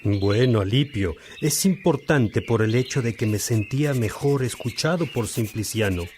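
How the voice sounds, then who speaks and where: A man's voice: mid-range voice, moderate pace, a little mellow, a little hiss or hum, lightly acted. A man acting a devout, earnest churchman in a religious radio drama, speaking with solemn, reflective, formal phrasing. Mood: reflective, fond.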